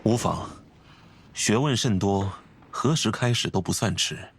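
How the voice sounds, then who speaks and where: A man voicing a refined, composed, scholarly gentleman in a video game, speaking formally and courteously with measured phrasing. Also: on the low side, breathy edge. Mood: reassuring, measured. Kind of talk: video game character acting.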